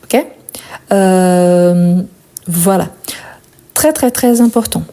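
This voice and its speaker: A woman's voice: lowish voice, extremely slow, warm tone. A woman with a non-native French accent giving a live French conjugation lesson, patiently repeating each verb form with fillers and asides. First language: not French. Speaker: French teacher.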